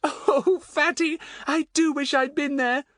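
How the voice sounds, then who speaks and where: A man voicing an excitable, admiring young girl in a children's audiobook, gushing and exclamatory. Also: very high-pitched, slow, no room sound, clean studio sound, voice pushed up, heavily acted. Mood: wistful admiration.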